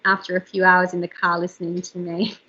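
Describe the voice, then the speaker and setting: A woman in her thirties, an author and journalist, chatting candidly with a slightly squeaky voice from a room at home over a video call. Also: flat delivery, brisk, sharp tone, muffled recording, slight background noise. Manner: chatty, candid, frequent fillers. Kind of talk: casual interview chat.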